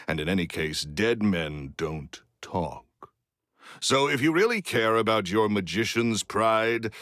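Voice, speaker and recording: A middle-aged former magician for a video game, low and booming, with big swings in pitch. He is curt, domineering and short of patience. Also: fairly bright tone, dry recording, clean studio sound, exaggerated voice. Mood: scornful. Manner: curt, domineering, hard-nosed. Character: gruff, impatient, foul-mouthed former stage magician in his late thirties.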